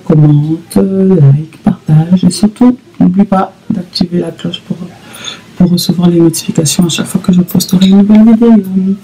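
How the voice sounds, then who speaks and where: A woman in her thirties talking casually to camera in a vlog, halting with many fillers and repeats. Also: fairly low pitch, fairly even intonation, mellow, slightly rough, poor audio. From Congo (DRC). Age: 30s. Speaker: vlogger.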